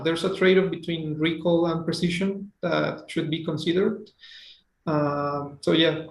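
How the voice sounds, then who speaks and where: Man with a gravelly voice in an echoey room. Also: a little high. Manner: halting, many fillers and restarts. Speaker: project team member presenting results. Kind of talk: online webinar presentation.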